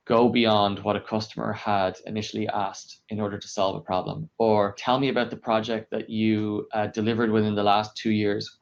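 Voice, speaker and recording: Low-voiced man, dull lo-fi sound. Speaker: podcast guest, engineering director. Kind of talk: conversational interview explanation. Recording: dull, lo-fi podcast audio.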